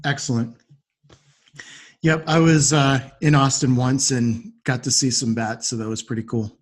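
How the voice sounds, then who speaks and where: A man's voice: mid-range voice, fairly even intonation, a little slow. A man hosting a podcast, introducing and interviewing in a friendly style. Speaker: podcast host.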